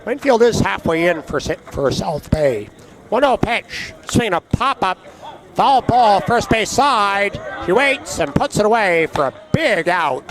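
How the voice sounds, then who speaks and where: A man calling a baseball game play-by-play, his voice raspy and hoarse from allergies, chatting between pitches. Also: big pitch swings, unhurried, noisy background. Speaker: play-by-play announcer.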